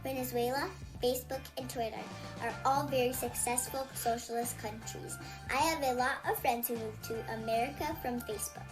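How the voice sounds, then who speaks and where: A young girl delivers a scripted parody in a high, childish voice. She talks in a valley-girl style and keeps a deadpan tone. Clear music plays underneath her. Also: normal speed, few pauses, poor audio. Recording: video played with music under it.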